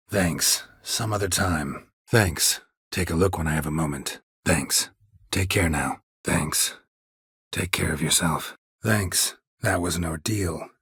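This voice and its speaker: An American man voicing a stoic, world-weary, middle-aged monster hunter in a video game, with a gravelly, husky voice and a deadpan, clipped delivery. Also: low voice, no echo, clean studio sound, full character voice. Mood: brighter, engaged. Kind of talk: video game character dialogue lines.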